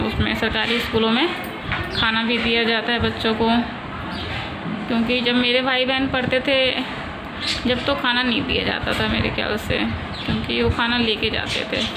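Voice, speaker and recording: A woman's voice: fairly high pitch, vocal fry. A woman in her thirties, from a village in India, chatting casually and rambling on in a home vlog. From rural India. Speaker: vlogger. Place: home in the city. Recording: loud background noise, traffic.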